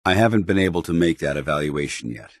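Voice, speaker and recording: Casting: proud military paladin for a game, very low, husky voice, formal and earnest, recorded dry. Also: male voice, lively intonation, quick pace, no echo. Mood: commanding, suspicious. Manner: formal, clipped, commanding, earnest. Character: stern, proud, earnest male military paladin soldier companion. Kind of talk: scripted video game companion dialogue.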